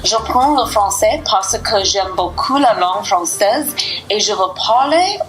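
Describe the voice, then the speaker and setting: Slow-speaking woman learning French. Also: medium pitch, animated, low-quality recording. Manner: careful, slow beginner's French. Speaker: beginner French student, guest. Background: background music.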